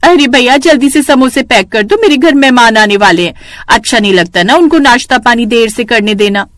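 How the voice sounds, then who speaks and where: A woman voicing a hurried, insistent market customer in a cartoon moral story. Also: on the high side, expressive, no echo, clean studio sound, close to an everyday voice. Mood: urgent. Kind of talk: acted dialogue in a Hindi moral cartoon story.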